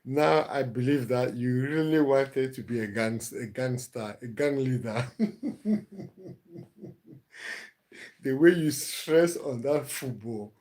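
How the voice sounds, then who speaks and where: A man's voice: mid-range voice, slow, pauses often, a little mellow. A man hosting a casual live stream, reading viewers' comments aloud and answering them with easy laughter.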